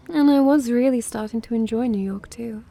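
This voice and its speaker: A woman's voice: fairly high pitch, normal speed, creaky, dark tone. A woman playing a prickly, disdainful vampire who is secretly smitten with a human, huffy and theatrical in an ASMR roleplay. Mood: teasing.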